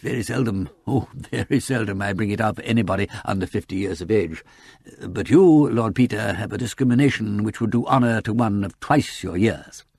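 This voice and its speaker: A man's voice: on the low side, brisk, creaky, darkish tone, breathy edge, no echo. A man voicing a courteous, old-fashioned elderly English solicitor with a hesitant, precise, formal way of talking in an audiobook. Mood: genial, mild.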